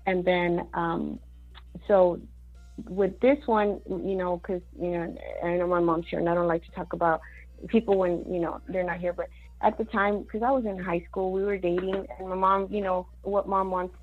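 Woman guest, Mexican-born singer-songwriter; rambling, filler-heavy talk with Spanish words; gravelly voice, dull recording. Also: fairly low pitch, little pitch movement, moderate pace, soft background music. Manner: chatty, many fillers, mixes in Spanish words. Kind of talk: casual interview chat.

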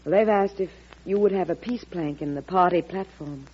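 A woman's voice: fairly low pitch, normal speed, a little hiss or hum, close to an everyday voice. A woman playing a worried, deferential presidential secretary in a 1940s radio drama. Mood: anxious, urging. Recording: husky, muffled old radio broadcast.

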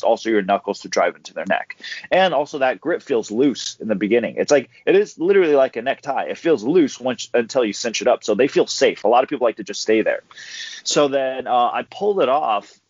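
A young man chats as a podcast guest, easygoing and quick to laugh as he tells his stories. His voice sits at an ordinary middle pitch. The recording is dry with no room sound and slightly muffled. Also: no room sound. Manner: laid-back storyteller who laughs easily. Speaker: guest, pro MMA fighter. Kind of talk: casual podcast interview. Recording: dull dry audio.

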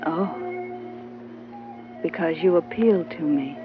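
An actress playing an alluring, scheming and bold femme fatale in an old radio drama. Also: female voice, on the low side, takes it slowly. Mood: seductive. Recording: muffled old radio, loud music behind.

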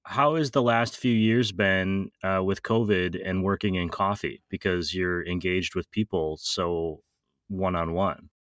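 A man's voice: deep voice, a little slow, fairly bright tone, no room sound, clean studio sound. A man hosting an interview, asking questions casually with hesitations and restarts. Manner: hesitant, with filler ums and restarts. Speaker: interview host.

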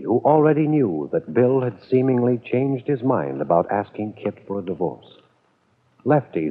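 Male radio serial narrator, low pitch, scripted storytelling, muffled old-time broadcast sound. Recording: dull, narrow vintage radio recording.

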